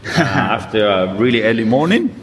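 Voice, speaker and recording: A man's voice: mid-range voice, big pitch swings, normal speed. A Colombian man speaking English with a Colombian Spanish accent, chatting easily as an interview guest and laughing often. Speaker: guest, fitness coach. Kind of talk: casual interview chat. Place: gym. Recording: long reverb, faint background noise.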